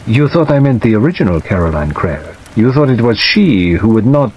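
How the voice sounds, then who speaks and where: A man voicing a weak, hesitant middle-aged gentleman in an audiobook, plaintive and wistful. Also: on the low side, slightly rough. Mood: troubled, hesitant. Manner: hesitant, halting, self-qualifying. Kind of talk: dramatised audiobook reading. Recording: dull audio under steady rain noise.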